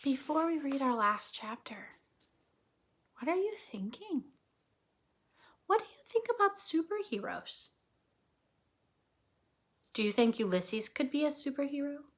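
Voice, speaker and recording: A woman reading a children's story aloud as a storyteller, with dramatic, deliberate phrasing. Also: high-pitched, very animated. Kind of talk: expressive children's story narration.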